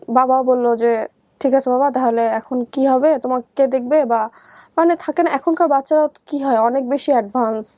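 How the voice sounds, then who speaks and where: High-pitched young Bangladeshi woman. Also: a little mellow, no room sound. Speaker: guest telling her life story. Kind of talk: personal storytelling in conversation.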